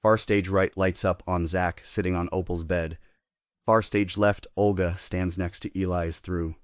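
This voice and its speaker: A man's voice: sits low, level tone, a little slow, no echo, studio quality. A man narrating stage directions in a scripted table read.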